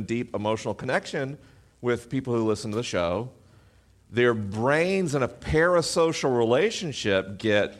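A man with a deep, booming voice holds the stage at a live podcast event, speaking into an amplified mic. His delivery is animated and self-deprecating, and he mixes humor with earnest points. His pitch sits in an ordinary middle range for a man. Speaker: podcast host. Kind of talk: live stage talk to an audience.

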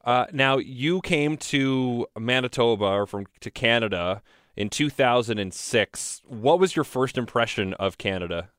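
Calm male interviewer, smooth and resonant, dry close sound. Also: medium pitch, a little slow, no echo. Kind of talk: interview questions.

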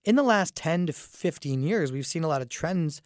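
A man with a raspy voice from a cold hosts a casual radio talk show, chatting with fillers and restarts. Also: a little high, expressive, moderate pace, dry recording, studio quality. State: fighting a cold, groggy. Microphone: studio microphone.

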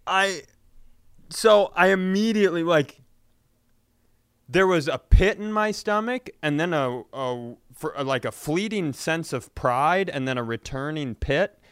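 Smooth-voiced, higher-pitched man, self-deprecating. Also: lively intonation, unhurried, a bit bright, no echo, studio quality. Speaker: podcast co-host. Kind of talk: casual comedic storytelling.

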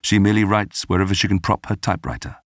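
A man narrating a scripted documentary podcast in a measured, polished storytelling manner. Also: low-pitched, lively intonation.

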